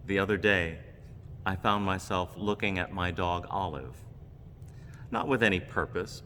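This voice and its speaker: A man giving a reflective homily with personal stories, speaking in measured, deliberate phrases. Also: low voice, a bit bright. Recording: long reverb, background noise.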